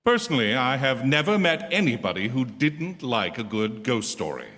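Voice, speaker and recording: An actor playing an urbane, wry star host introducing a 1940s radio thriller in theatrical, measured oratory. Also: male voice, mid-range voice, big pitch swings, bright, forceful tone. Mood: wry, suave. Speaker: program host. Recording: echoey theatre hall with music underneath.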